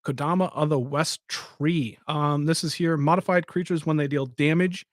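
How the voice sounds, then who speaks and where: A man's voice: a little high, fairly even intonation, normal speed, no echo, clean studio sound. Chatty man hosting a live stream. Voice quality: says he has a soft voice. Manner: rambling, talks to chat. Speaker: podcast host. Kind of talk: casual live-stream chat.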